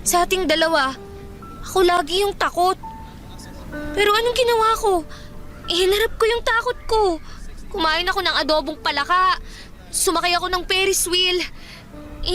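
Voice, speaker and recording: A woman's voice: very high-pitched, sharp tone. A woman playing a bold, lovestruck young provincial woman in a Filipino radio drama, pleading and insistent, with a Filipino accent.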